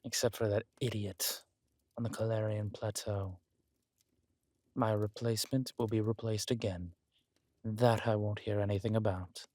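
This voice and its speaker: A man playing a regal, wry, menacing villain king in a roleplay audio drama, speaking in a measured, intimate, smugly theatrical way. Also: lowish voice, fairly even intonation, darkish tone, dry recording, close to an everyday voice. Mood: cold, menacing.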